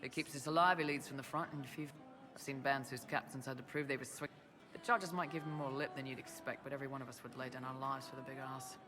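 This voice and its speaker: A man's voice: mid-range voice, steady tone, quick pace, music in the background, lightly acted. An actor playing a blunt, loyal male mercenary lieutenant in a fantasy video game cutscene. He talks in plain, no-nonsense soldier language.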